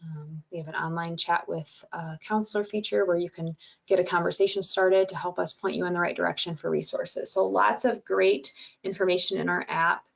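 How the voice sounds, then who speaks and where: A woman with a soothing voice presenting a wellness webinar, calm and gentle, with frequent fillers. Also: on the low side, fairly quick, gravelly, highs cut off. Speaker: webinar presenter and podcast host.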